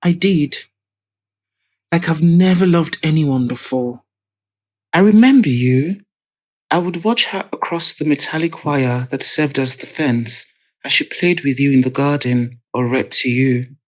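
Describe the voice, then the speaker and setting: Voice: mid-range voice, unhurried, lightly acted. Woman acting haughty, sinister older man. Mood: wistful. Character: sinister older wealthy man, evasive, indignant, wistful.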